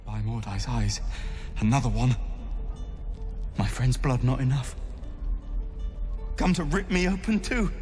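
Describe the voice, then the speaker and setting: Man acting bitter, shaken refugee, medium pitch. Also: a little slow, long pauses, darkish tone, in a large hall, close to an everyday voice. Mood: bitter, wary. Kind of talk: video game voice acting. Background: music.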